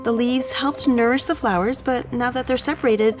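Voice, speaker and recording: A woman playing a brisk, preoccupied middle-aged scientist mother in a children's audio drama, speaking in a hurried, matter-of-fact way. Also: a little high, fairly even intonation, music in the background, lightly acted.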